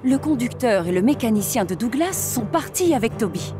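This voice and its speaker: A woman's voice: fairly high pitch, big pitch swings, moderate pace. A woman reading scripted narration for a children's story. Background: music behind the voice.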